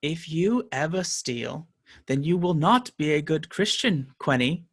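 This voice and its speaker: A man's voice: on the high side, expressive, a little slow. A man playing a cruel, pompous medieval sheriff in a radio play, preaching law and order with menace. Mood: menacing, preaching.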